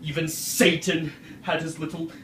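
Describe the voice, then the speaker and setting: A man playing Frankenstein's creature, wretched, anguished and vengeful, in a theatrical, archaic stage monologue with deliberate oratory. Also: a little high, flat delivery, a bit bright, natural voice. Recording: roomy, distant microphone, faint noise.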